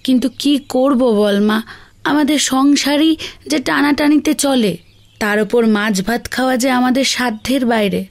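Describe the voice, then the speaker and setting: A woman voicing a gentle, careworn village mother with a tender, consoling manner, in a children's cartoon. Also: high-pitched, moderate pace. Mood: tender, resigned.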